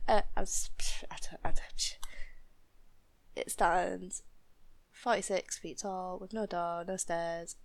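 A woman's voice: on the low side, steady tone, slow, vocal fry. A young woman from the north of England with a Northern English accent, chatting casually and hesitantly, often laughing at herself. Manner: giggly, self-deprecating, hesitant with many fillers. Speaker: student vlogger. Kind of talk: casual chat, accent tag video.

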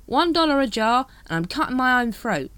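A woman voicing a shifty, fast-talking male street hawker with wheedling, conspiratorial sales patter in a storybook. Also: high-pitched, sing-song, a bit bright, clean tone, no echo, voice pushed up.

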